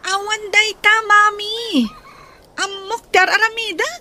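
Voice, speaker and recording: A woman's voice: very high-pitched, steady tone, unhurried, bright, forceful tone, slight background noise, exaggerated voice. A young woman with an Ilocano Filipino accent acting a sassy, defiant student and daughter in a radio comedy-drama. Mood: defensive.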